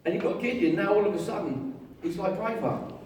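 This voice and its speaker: A man preaching a lively, jokey sermon, telling a story with voices and getting the congregation to join in. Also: high-pitched, quick pace. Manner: jokey, animated storytelling with audience participation. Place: large hall with an audience. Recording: echoey hall, far from mic, crowd.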